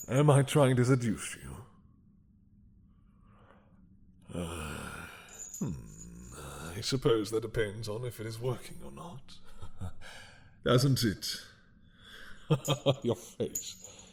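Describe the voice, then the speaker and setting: A man playing an ancient, imprisoned mad dragon king in an intimate audio roleplay, seductive and menacing, with a husky, breathy voice and a teasing, hesitant drawl. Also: lowish voice, darkish tone, slight background noise. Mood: seductive, playful. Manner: slow, teasing, hesitant drawl with murmured asides.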